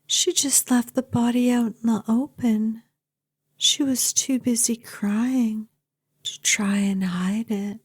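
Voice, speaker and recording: Woman acting a slow, breathy vampire girlfriend. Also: medium pitch. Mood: bored, musing. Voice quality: soft, breathy, often whispering. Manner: slow, intimate, casually morbid, doting. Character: obsessive, possessive yandere vampire girlfriend, sweetly menacing. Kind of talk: ASMR audio roleplay addressed to the listener.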